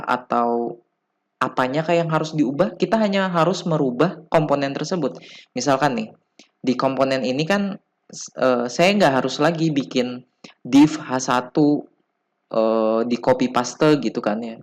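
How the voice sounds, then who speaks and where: A man with an Indonesian accent giving an informal coding tutorial as an instructor, explaining haltingly, step by step. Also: medium pitch. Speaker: programming instructor.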